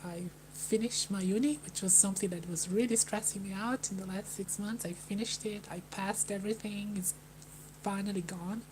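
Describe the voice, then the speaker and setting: Young woman, from Brazil, hesitant, flat monotone, hum and noise behind. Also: mid-range voice. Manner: hesitant, halting, with many restarts and sighs. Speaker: livestreamer. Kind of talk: personal talk to a live chat. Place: Melbourne, indoors.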